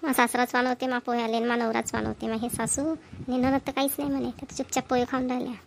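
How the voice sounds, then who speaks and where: A woman's voice: on the high side, little pitch movement, fast talker, gravelly, a little hiss or hum. A woman playing a weary, solitary young daughter-in-law in a family cartoon, grumbling plainly with a Vidarbha Marathi accent. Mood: casual. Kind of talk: amateur family cartoon voice acting.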